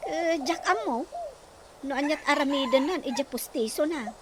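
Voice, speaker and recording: A woman's voice: high voice, normal speed. A woman in an Ilocano radio comedy drama, teasing and gossipy. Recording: noisy background hiss.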